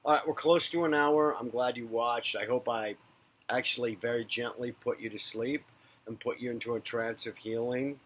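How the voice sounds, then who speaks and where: A man with a bright, forceful voice talks straight to his viewers in an unscripted spiritual monologue. He rambles and speaks candidly, swearing freely, rising to loud rants and then dropping to soft murmurs. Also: medium pitch, fairly even intonation, moderate pace, a little hiss or hum. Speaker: psychic medium and spiritual talk host. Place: based in a big city.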